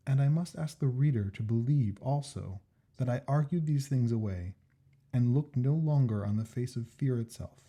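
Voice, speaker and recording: A man reading a classic ghost story aloud as scripted narration, in a measured, expressive manner with deliberate phrasing. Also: medium pitch, breathy edge. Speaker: story reader.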